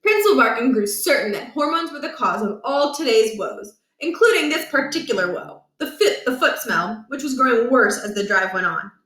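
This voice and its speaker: A woman reads a children's chapter book aloud, telling the story with lively expression. Her voice is bright and a bit high, and the echoey room carries it. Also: moderate pace, few pauses. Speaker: storybook reader.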